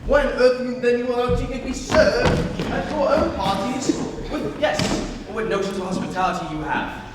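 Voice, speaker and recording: Young man playing flippant dandy, high voice. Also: moderate pace. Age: young adult. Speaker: student performer in a dramatic reading. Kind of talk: theatrical comedy stage reading. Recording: echoey noisy hall, far from mic.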